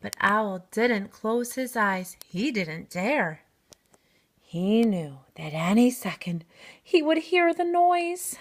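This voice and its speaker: A woman reading a children's storybook aloud in expressive narration. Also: mid-range voice, slow. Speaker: storybook reader.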